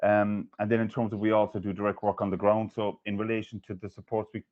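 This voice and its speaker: A man's voice: fairly low pitch, flat delivery, fast. A man describing local support work on a video call, matter-of-fact with frequent fillers. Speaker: development company worker. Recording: muffled video call audio.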